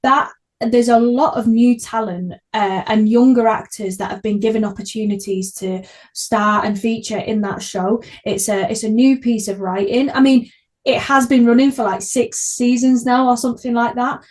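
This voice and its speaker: A woman's voice: a little high, fairly even intonation, moderate pace, a little mellow. A woman hosting a podcast in a casual, chatty, effusive conversational way. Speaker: podcast host.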